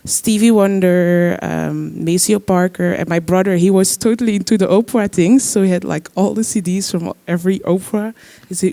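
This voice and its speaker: Young man musician, mellow smooth voice. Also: high-pitched, no echo. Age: young adult. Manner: searching, halting phrasing with many fillers. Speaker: musician guest, trombone player. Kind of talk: panel discussion answer.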